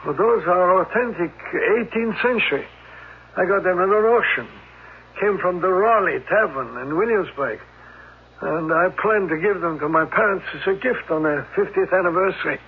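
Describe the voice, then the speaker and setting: A timid, high-voiced, stammering shopkeeper. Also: male voice, normal speed, phone-quality sound. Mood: mild, hesitant. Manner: stammering, apologetic, nervous. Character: timid, flustered, polite antique shopkeeper, easily frightened. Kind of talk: 1970s radio drama acting. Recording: noisy, thin vintage radio recording.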